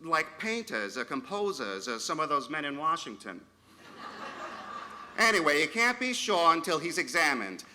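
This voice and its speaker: Earnest, agreeable male manager, animated and very bright, vintage radio drama delivery. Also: on the high side, normal speed, a little hiss or hum, heavily acted. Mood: reassuring. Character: eager, agreeable male department store manager. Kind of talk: live 1940s-style radio play acting.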